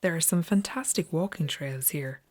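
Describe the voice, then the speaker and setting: A woman's voice: deep voice, moderate pace, darkish tone. A woman, narrating a travel documentary as its presenter.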